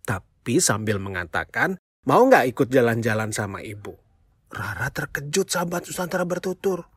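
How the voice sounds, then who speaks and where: A storyteller telling a children's tale in an expressive, playful way, speaking straight to young listeners with exclamations and questions. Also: medium pitch, moderate pace, husky, dry recording, clean studio sound. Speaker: children's storyteller, show host.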